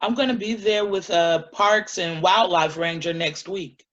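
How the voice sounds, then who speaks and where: An actress playing a defiant, principled animal-rights activist in a staged play reading, blunt and combative. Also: female voice, fairly low pitch, steady tone, measured pace, lightly acted. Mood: firm, defensive. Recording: dull lo-fi sound.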